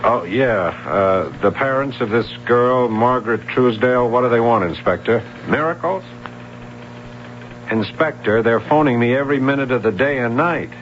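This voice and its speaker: An actor playing an earnest young police sergeant who gets defensive and agitated. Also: male voice, mid-range voice, moderate pace, slight background noise, close to an everyday voice. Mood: harried. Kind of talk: 1970s radio drama acting. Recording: dull lo-fi radio broadcast.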